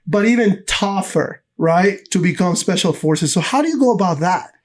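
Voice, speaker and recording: A man with a high voice hosts a casual podcast conversation. He comes from Ecuador and talks in an effusive, informal way, turning between his guest and his listeners. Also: lively intonation, normal speed. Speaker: podcast host. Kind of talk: enthusiastic casual chat.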